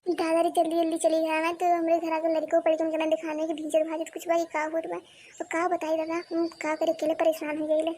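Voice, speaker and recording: A fretful cartoon village wife with a piercingly high voice and an Awadhi accent. She complains and scolds in wailing exclamations, like a put-upon mother in a rustic comedy. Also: completely flat delivery, brisk, dark tone. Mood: panicked lament.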